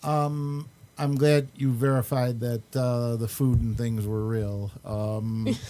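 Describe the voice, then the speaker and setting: A man hosting a radio show in a casual, unscripted way, with halting, hesitant phrasing. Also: medium pitch, little pitch movement, mellow.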